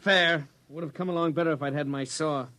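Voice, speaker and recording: An actor playing a mild, agreeable village builder in an old radio comedy, polite and laconic. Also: male voice, a little high, fairly even intonation, brisk, sharp tone, a little hiss or hum. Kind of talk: 1950s radio comedy drama acting.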